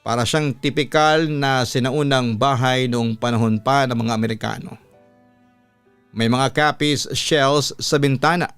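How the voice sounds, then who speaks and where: A Filipino man with a clear, resonant voice reads a horror story like a radio host. His delivery is measured and deliberate, stretching words for weight. The sound is close and dry, with faint music underneath. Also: medium pitch, steady tone, a bit bright, no room sound. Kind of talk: scripted horror-story narration of a listener's letter. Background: background music.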